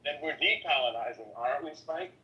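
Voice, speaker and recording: A man's voice: medium pitch, lively intonation, fairly quick, highs cut off. A man arguing in an informal political debate, emphatic and insistent, repeating himself to press a point. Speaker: debate participant.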